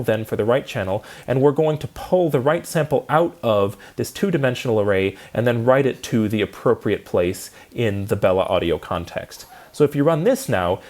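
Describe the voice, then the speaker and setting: Male lecturer, mid-pitched voice, explanatory and methodical instructional delivery. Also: a little mellow.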